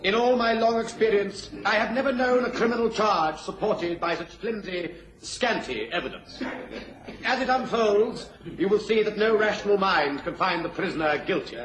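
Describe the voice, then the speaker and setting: An actor playing a pompous, theatrical defence barrister, declaiming in a radio drama courtroom. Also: male voice, high-pitched, moderate pace, fairly bright tone, echoey room, rough recording. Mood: scornful, oratorical.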